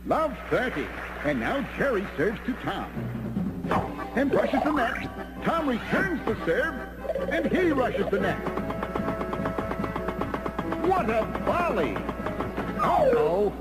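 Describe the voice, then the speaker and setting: Cartoon match announcer, very high voice, lively swooping intonation, theatrical, exclamatory play-by-play. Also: male voice, slow pace, pauses often, full character voice. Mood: excited. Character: excitable male cartoon tennis match announcer. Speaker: sports commentator. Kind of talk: play-by-play tennis commentary in a cartoon. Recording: music under the voice. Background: loud background music.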